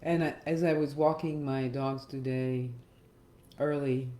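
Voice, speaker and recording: A very slow-speaking middle-aged woman leads a reflective meditation, leaving gentle pauses between phrases. Her tone is soft-edged and mellow. The voice sits in a roomy echo with faint music underneath. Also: a bass voice, steady tone. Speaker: meditation guide and hypnotherapist. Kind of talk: reflective guided meditation talk.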